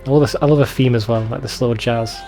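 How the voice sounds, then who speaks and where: A man's voice: mid-range voice, steady tone, fast, creaky, warm tone, faint music behind. A man giving casual, sweary commentary over a video game in an informal, bemused way. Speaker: let's play video host.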